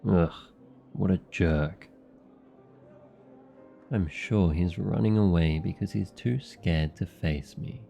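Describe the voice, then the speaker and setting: A man playing a devoted, jealous young demon familiar in love, speaking softly and intimately in an ASMR boyfriend roleplay. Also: low voice, slow, vocal fry, long pauses, soft background music, heavily acted. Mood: scornful.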